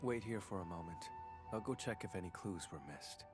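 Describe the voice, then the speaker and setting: A man's voice: low-pitched, a little fast, music in the background, close to an everyday voice. A man playing a brooding, aloof investigator in a video game, terse and cold. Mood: curt.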